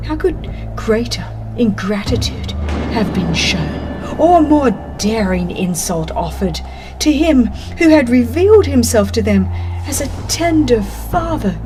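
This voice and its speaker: Slow, solemn woman narrator over music. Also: on the high side. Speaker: audiobook narrator. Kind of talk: dramatized scripted religious narration.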